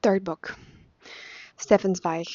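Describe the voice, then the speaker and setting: A woman's voice: lowish voice, fairly even intonation, takes it slowly, a little mellow, no room sound, lo-fi sound. Chatty young woman, native Spanish speaker. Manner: chatty, lots of fillers and restarts. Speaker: vlogger. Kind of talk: casual book review chat.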